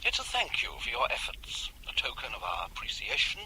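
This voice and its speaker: An actor playing a suave, formal intelligence official, heard over a telephone in a radio drama. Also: male voice, medium pitch, huge pitch swings, fairly quick, noisy background. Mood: suave, coaxing. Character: smooth, polite English government intelligence official.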